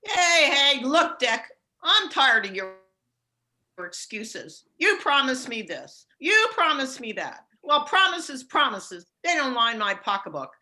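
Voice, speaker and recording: An actress playing a scolding, fed-up ex-wife with slangy speech in a campy noir radio play. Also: female voice, high-pitched, very animated, takes it slowly, pushed and bright, full character voice, poor audio. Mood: fed-up nagging. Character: nagging, fed-up female ex-wife of a detective.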